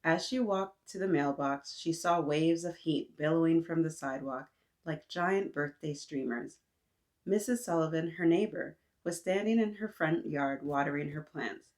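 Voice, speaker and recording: A woman's voice: low voice, normal speed, a little mellow. A woman hosting a picture book read-aloud for young children, encouraging and sing-song, often putting questions to her listeners.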